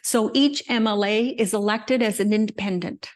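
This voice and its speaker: An older woman, a native English speaker, giving a conversational slide lecture with frequent pauses and asides. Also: medium pitch. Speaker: guest lecturer. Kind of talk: slide presentation talk.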